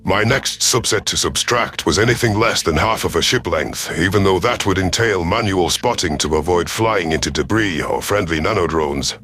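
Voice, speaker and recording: A man with a deep voice narrates scripted science fiction in the first person. His storytelling is formal and deliberate, in the manner of an audio story narrator. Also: fairly bright tone, soft background music.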